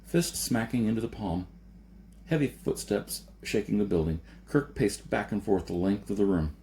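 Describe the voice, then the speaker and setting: A man's voice: fairly low pitch, normal speed, dark tone, a little hiss or hum. A man reading a science fiction story as scripted narration, in the manner of an amateur audiobook reader. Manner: amateur reading with slips and retakes.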